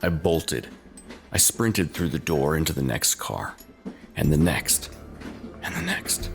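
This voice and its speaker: A man narrating a scripted first-person horror tale in short, halting phrases with an ominous, dramatic manner. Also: rumbling low, very animated, gravelly, soft background music.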